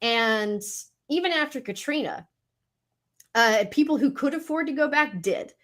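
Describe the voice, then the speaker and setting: Frank animated woman. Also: high-pitched, unhurried. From Mississippi Gulf Coast. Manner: frank and animated, swears casually, laughs often. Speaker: guest scientist. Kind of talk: casual interview chat.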